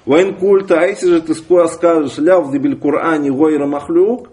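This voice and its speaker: Man teaching a classical text, measured. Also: a little high, dark tone. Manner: reads Arabic lines, then explains them in Russian with pauses and restarts. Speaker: religious teacher. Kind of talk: lesson explaining a classical text.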